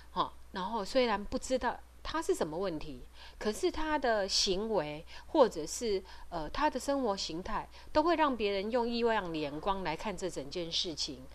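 Taiwanese Mandarin woman, hesitant explainer. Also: medium pitch. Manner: explanatory, hesitant, with frequent pauses and trailing particles. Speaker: podcast host and parent advocate. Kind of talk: informal advisory talk.

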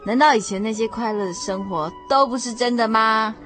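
A woman's voice: on the high side, brisk, a bit bright, faint music behind, close to an everyday voice. Woman acting an exasperated wife, raised voice. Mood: incredulous. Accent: Taiwanese Mandarin. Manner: incredulous, scolding, colloquial. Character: exasperated, practical wife scolding and pleading with husband. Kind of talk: radio drama acting.

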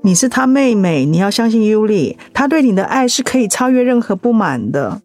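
An actress playing a warm, reassuring close friend in a radio drama, expressive and encouraging. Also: female voice, mid-range voice, a little fast, faint music behind, lightly acted. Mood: concerned, reassuring.

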